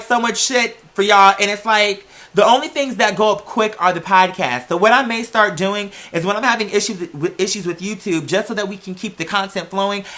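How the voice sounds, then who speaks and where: A woman's voice: medium pitch, bright, forceful tone. A woman hosting a video, giving casual, blunt commentary to viewers with plenty of swearing and slang. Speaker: video host.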